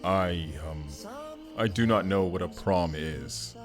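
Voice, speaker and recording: An actor playing a hulking, earnest, gentle barbarian man in an audio roleplay, speaking formally and haltingly. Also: low-pitched, music in the background. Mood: shy, earnest. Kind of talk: first-person audio roleplay acting.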